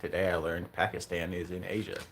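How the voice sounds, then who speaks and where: A man's voice: sits low, fairly quick. An American man of twenty-nine from California, chatting casually as a streamer with a viewer and asking friendly, curious questions. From California, USA. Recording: steady background noise.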